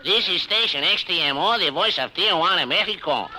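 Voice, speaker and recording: An actor playing a proud, grandiose announcer of a border radio station in an old-time radio comedy. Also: male voice, high-pitched, expressive, a little fast. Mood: grand. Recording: very noisy old radio broadcast, audience behind.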